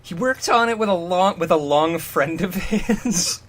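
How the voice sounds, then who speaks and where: A man's voice: high voice, unhurried, fairly bright tone, a little hiss or hum. A man co-hosting a comic fan fiction reading, breaking off into amused, mocking commentary. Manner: amused, mocking riffs on the text. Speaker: podcast co-host.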